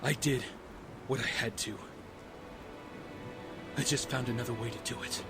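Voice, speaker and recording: An actor playing an earnest, resolute young vigilante hero in an audio drama, speaking emphatically. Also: male voice, mid-range voice, steady tone, moderate pace, loud music behind the voice, close to an everyday voice. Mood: defiant.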